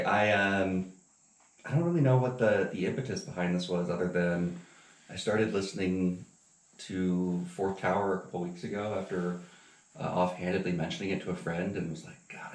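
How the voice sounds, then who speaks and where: Low-pitched man, roomy distant recording, casual interviewer, hesitant, rambling, trailing off. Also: a little mellow. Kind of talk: casual chat.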